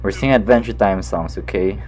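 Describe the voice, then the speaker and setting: A man's voice: on the low side, brisk, a little mellow. A man whose first language is Filipino, hosting a playful, bossy chat in an online virtual room. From half Filipino, half American. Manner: playful, bossy, laughs often. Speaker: host. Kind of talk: casual chat in a virtual world. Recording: background music.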